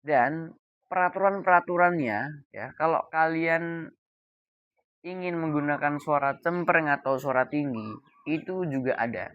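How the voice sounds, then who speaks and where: A young adult man giving an informal coaching talk, deliberate and emphatic, pausing between key words. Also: fairly high pitch, no echo, muffled recording. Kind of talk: informal explainer talk to camera.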